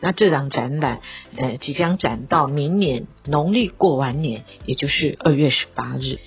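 A woman's voice: low voice, normal speed, faint music behind. A woman with a Taiwanese Mandarin accent giving a formal prepared announcement as an office director. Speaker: local culture and tourism office director.

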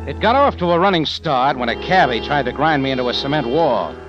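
A man's voice: mid-range voice, animated, brisk, natural voice. A male actor with an American accent playing a tough, weary adventurer narrating his own story in a wry 1940s radio drama style. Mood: wry, gripping. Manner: hard-boiled, wry, dramatic. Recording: dull vintage radio recording, music behind.

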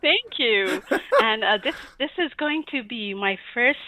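A woman's voice: on the high side, expressive, no room sound. A woman from Iran, a guest illustrator in a casual interview, talking with many fillers and little laughs. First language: Persian. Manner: warm, giggly, halting with many fillers. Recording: tinny phone-like line.

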